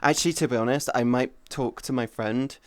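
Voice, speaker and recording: Thirtysomething guy streamer rambling to chat, cussing a lot, smooth voice, dry close sound. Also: medium pitch, brisk, dry recording. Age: 30s. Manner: rambling, swears freely, greets chatters by name. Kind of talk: casual chat with viewers.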